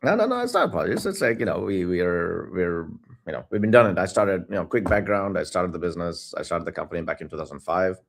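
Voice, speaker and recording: This is a man, a company founder talking as an interview guest. His voice sits very low with a gravelly edge. He chats easily, laughs often and doubles up his words as he goes. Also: lively intonation, fast. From India. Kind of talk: casual video-call interview.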